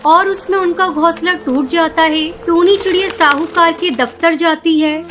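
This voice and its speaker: A woman's voice: very high-pitched, steady tone, a little slow, fairly bright tone, a little hiss or hum. A woman narrating a scripted children's cartoon story.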